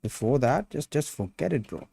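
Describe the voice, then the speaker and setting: A man's voice: medium pitch, animated, normal speed, vocal fry, dark tone, husky, no echo. A young man with an Indian accent chatting casually on a sculpting livestream, reading chat aloud and calling viewers "bro.". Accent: Indian English. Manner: laid-back, calls viewers bro, reads chat aloud. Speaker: sculpting streamer.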